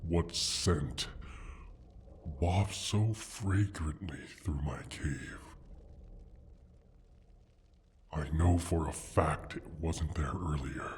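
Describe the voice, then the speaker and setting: A man voicing a proud, menacing dragon guarding his hoard in a slow, theatrical fantasy roleplay, with a breathy rumble and a deliberate, condescending manner. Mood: suspicious. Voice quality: husky, breathy rumble with whispered edges. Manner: deliberate, brooding, condescending, sighing.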